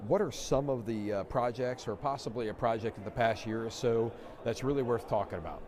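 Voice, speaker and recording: An upbeat male interviewer leads a friendly chat on a conference stage. His voice sits at an ordinary pitch, and steady background noise runs behind him. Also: brisk. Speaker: magazine interviewer and host.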